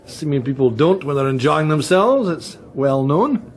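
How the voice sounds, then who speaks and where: An actor playing a nosy gossip who teases and insinuates with feigned innocence. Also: male voice, fairly high pitch, very animated, measured pace. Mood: slyly needling. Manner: sly, teasing, feigned innocence. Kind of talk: British political drama acting.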